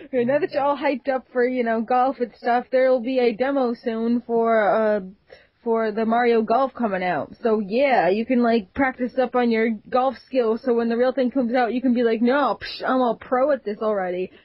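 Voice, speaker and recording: A bubbly woman chats on a gaming podcast in a fairly high voice. The recording is dry and lo-fi, with a dull edge. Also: fairly even intonation, normal speed, no echo. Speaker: podcast co-host. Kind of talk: casual chat.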